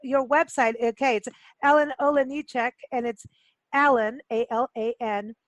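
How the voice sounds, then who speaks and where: A woman's voice: high-pitched, fairly even intonation, moderate pace, no echo. A woman from Kenya hosting a casual radio interview, talking in a chatty, informal, joking way. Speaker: radio show host.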